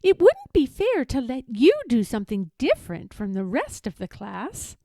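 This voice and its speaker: Lady doing a sweet high art teacher lady, lilting n coaxing, storybook style, really dry sound. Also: wildly swooping pitch, normal speed, warm tone, no echo, heavily acted. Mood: coaxing, gentle. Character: sing-song, kindly woman art teacher. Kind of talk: children's storybook read-aloud.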